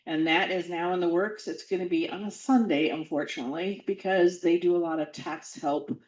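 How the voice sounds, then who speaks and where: A woman's voice: fairly low pitch, steady tone, a little fast, a little mellow, reverberant, lo-fi sound. A woman giving a casual civics update at a membership meeting, talking loosely with fillers and restarts.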